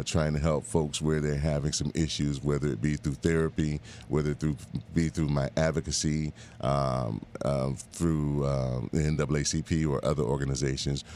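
Middle-aged male interview guest, exceptionally deep register, conversational, hesitant phrasing, faint background noise. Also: steady tone, fairly quick, few pauses, a little mellow. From the Bahamas and Miami. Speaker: guest, civil rights branch president. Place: live radio studio.

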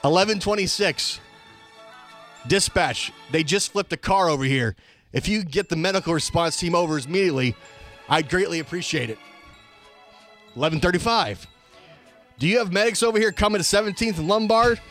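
A man with a notably high voice hosts a talk show. He reads quoted reports and times aloud with emphatic, announcer-style delivery. Faint music plays behind him. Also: normal speed, long pauses, fairly bright tone. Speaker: radio show host. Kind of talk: reading police dispatch quotes aloud on a comedy-tinged talk show.

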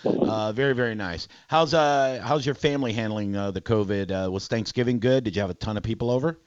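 A man in his forties hosting a radio show, bantering casually with callers in a crude, teasing, excitable way. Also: on the low side, fairly quick, clear, resonant tone, dry recording, lo-fi sound. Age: 40s.

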